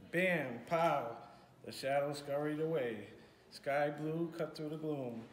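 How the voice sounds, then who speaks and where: A man's voice: a little high, slow. A man in his thirties reading a children's picture book aloud. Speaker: school staff member reading aloud. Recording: echoey room with faint noise.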